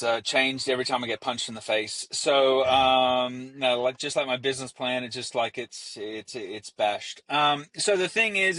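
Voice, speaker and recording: A man's voice: medium pitch, fairly bright tone, dry recording. An Australian man with an Australian accent, a business founder speaking as a guest in a casual podcast interview, talking with energy and acting out the voices he quotes.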